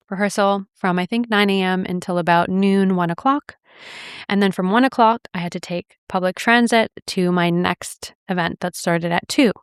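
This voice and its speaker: A woman's voice: on the low side, fairly bright tone, clear, resonant tone, no room sound, studio quality. A young adult woman hosting a podcast, telling a personal story in an earnest, reflective way with light laughs. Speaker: podcast host.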